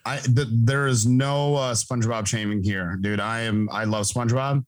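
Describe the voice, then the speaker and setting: A man in his thirties hosts a casual podcast, cracking jokes and wandering through his thoughts with plenty of "uh" and "like." His voice sits at an ordinary middle pitch. It is picked up close and dry, with no room sound. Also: fairly even intonation, moderate pace, no echo. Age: 30s. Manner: joking, rambling, full of fillers. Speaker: podcast host. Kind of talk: casual chat.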